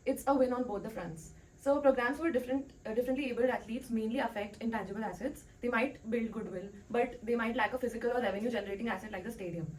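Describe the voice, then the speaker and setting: A woman's voice: fairly high pitch, a little mellow, slight background noise, poor audio. A woman giving a prepared business argument as a debate panelist in a plain, explanatory way. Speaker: debate panelist playing an executive.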